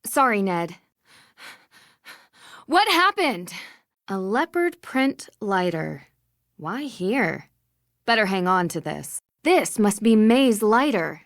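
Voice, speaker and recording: Casting: young female detective for a mystery game, medium pitch, courteous, probing, measured, dry studio recording. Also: sing-song, dry recording. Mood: calm. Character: polite, inquisitive young woman detective. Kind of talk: video game voice acting.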